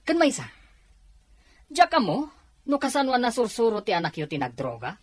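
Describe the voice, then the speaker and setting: A woman voicing a defiant, curt young man with a husky voice and an Ilocano accent in an audio drama. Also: on the high side, huge pitch swings, moderate pace, darkish tone, slight background noise. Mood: defensive, cold. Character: defiant, unrepentant young man rejecting his wife.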